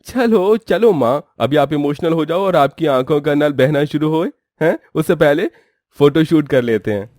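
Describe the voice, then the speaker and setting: A man's voice: a little high, animated, moderate pace, darkish tone, dry recording, clean studio sound, lightly acted. A man acting friendly male characters in a dramatised moral story. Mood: teasing. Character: male story characters: polite contest announcer, teasing son.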